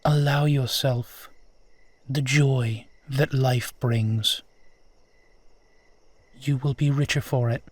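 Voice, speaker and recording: Man playing a slow-spoken, courtly vampire. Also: mid-range voice, steady tone, no echo. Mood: tender, wistful. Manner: courtly, formal, measured, tender. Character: courtly, wistful, gentle immortal male vampire. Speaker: voice actor in audio roleplay. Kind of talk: intimate scripted roleplay monologue addressed to listener.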